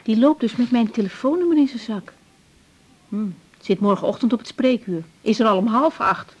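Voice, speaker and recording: A woman's voice: a little high, moderate pace, a little mellow, breathy edge. An actress playing a busy, reassuring young woman doctor in a naturalistic radio drama. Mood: brisk, matter-of-fact. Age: young adult. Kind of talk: naturalistic 1980s Dutch radio drama acting. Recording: slightly dull 1980s radio recording.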